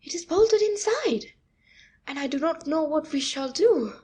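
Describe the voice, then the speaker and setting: An actress playing a scheming, scornful young wife in a classic comedy audio play, with a haughty theatrical manner. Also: female voice, high-pitched, lively intonation, unhurried, mellow. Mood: hushed, worried.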